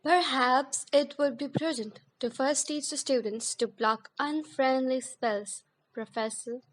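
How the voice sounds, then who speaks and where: A woman in an audio drama playing a cold, sly male wizard-school professor, measured, formal and disdainful. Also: high voice, low-quality recording. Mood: dry disdain.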